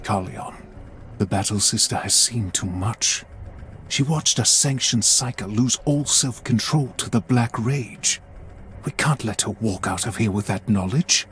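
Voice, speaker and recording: An actor playing a suspicious space marine brother in a game cutscene, curt and cold. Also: male voice, lowish voice, a little slow, a bit bright, slight background noise. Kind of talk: video game cutscene acting. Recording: game audio over music.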